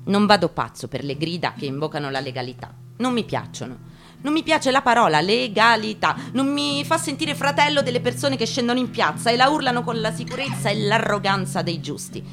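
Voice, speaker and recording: A woman giving a deliberate, declamatory dramatic reading of a first-person memoir, in short phrases with pauses. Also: on the high side, lively intonation, fairly bright tone. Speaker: actress giving a staged reading. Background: acoustic guitar playing.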